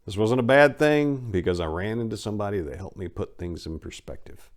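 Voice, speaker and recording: Low-voiced man reading a story dramatically. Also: lively intonation, a little fast. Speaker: audiobook narrator. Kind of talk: dramatic first-person reading.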